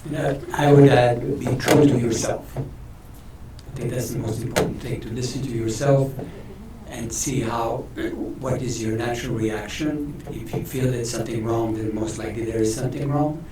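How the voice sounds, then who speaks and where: A man moderating a panel discussion, speaking calmly and plainly. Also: mid-range voice, normal speed. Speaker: moderator of a mock tribunal. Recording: echoey hall with faint noise.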